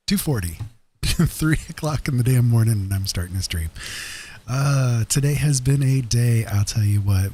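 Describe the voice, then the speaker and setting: A man's voice: fairly low pitch, mellow, slight background noise. A man hosting a casual late-night livestream, rambling informally and a little sweary. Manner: rambling, informal, mildly sweary, self-amused. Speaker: live stream host.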